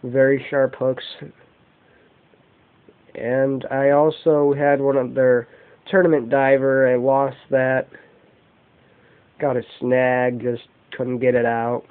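A man with a mid-pitched voice talks slowly through an informal product show-and-tell. His delivery comes in short, halting phrases. The sound is muffled and narrow, like a cheap camera mic in a room at home. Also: level tone, smooth. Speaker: amateur fishing vlogger showing lures. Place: indoors, carpeted room at home. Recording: dull, muffled lo-fi home video.

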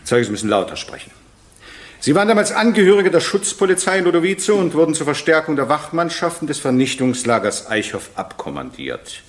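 An actor playing a stern, insistent judge in a radio courtroom drama, formal and probing. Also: male voice, a little high, sing-song, a little fast. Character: stern, insistent male judge questioning a witness.

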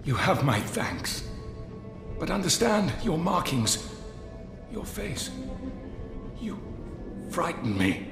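An actor playing a frightened hooded monk in a fantasy game, halting, earnest and cowering. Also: male voice, fairly high pitch, very animated, creaky, heavily acted. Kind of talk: fantasy game cutscene acting. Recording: echoey hall with music underneath.

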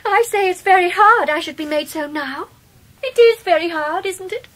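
A very high, girlish, plaintive mother. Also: normal speed, fairly bright tone. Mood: meek complaint. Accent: English. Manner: fluttery, plaintive. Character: fragile, girlish young mother, petulant and submissive. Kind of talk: radio drama acting.

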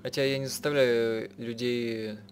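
A man narrating a visual novel playthrough as a live translator, haltingly, rephrasing as he goes. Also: mid-range voice, fairly even intonation, faint music behind. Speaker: let's-play narrator and translator.

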